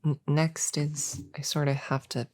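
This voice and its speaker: Woman as a breathy, slow-talking novice witch. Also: deep voice, level tone. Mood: gentle, focused. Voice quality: breathy, soft. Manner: hesitant, rambling, intimate chatter to a friend. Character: young novice witch, earnest, self-doubting, friendly. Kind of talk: soft ASMR roleplay, partly whispered.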